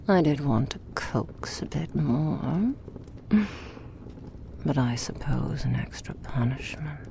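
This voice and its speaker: A woman playing a cruel, possessive demonic dark mage in a menacing, formal ASMR roleplay, breathy and gravelly. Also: rumbling low, full character voice. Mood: mocking. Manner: menacing, taunting, formal and deliberate. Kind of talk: roleplay ASMR, villain monologue.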